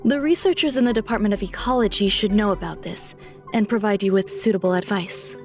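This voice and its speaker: A woman playing a poised, secretive scientist in a video game, coolly polite, with formal, precise and measured speech. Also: medium pitch, darkish tone, lightly acted. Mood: measured, confiding. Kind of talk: scripted video game character dialogue. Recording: music under the voice.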